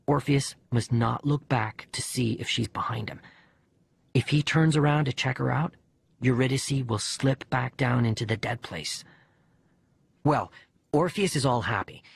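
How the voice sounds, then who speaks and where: A man voicing a bereaved young boy who narrates his diary letters in an expressive, conversational audiobook reading. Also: mid-range voice, creaky, breathy edge, dry recording, heavily acted. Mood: storytelling, then animated, incredulous.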